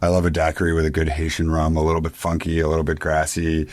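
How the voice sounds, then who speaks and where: Canadian bar owner guy from quebec, really deep voice, casual interview chat. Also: monotone, quick pace, darkish tone. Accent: Canadian English. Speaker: interview guest, bar owner and beverage director.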